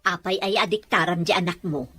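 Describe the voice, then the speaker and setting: A woman's voice: fairly low pitch, a little fast, bright, forceful tone, breathy edge, no room sound. A woman playing an exasperated middle-aged Ilocano mother in an audio drama, reproving, with an Ilocano accent.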